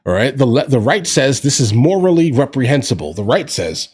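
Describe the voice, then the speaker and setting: A man's voice: mid-range voice, sing-song, brisk, a little mellow, slightly rough. A man giving casual political commentary as a reaction host, conversational and opinionated, with slangy asides to his viewers.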